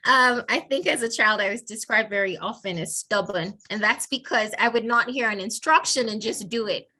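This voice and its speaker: A woman with a Nigerian accent speaks over a video call. Her voice is bright and sits a little high. She tells lively stories and laughs often. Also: normal speed. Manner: warm storytelling with frequent laughs. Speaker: guest, faculty member.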